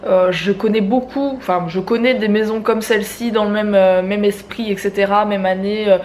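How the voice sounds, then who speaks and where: A woman's voice: medium pitch, fairly even intonation, normal speed, a little mellow, a little hiss or hum. A young woman with a stuffy, congested head cold, chatting casually to camera in a home vlog, with many fillers. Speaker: family vlogger. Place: at home, indoors.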